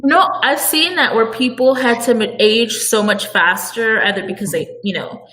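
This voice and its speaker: A woman's voice: on the high side, normal speed, a bit bright, low-quality recording. A woman co-hosting a book discussion in a casual, conversational way, informal and inclined to prompt her partner. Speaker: book club discussion host. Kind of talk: casual chat.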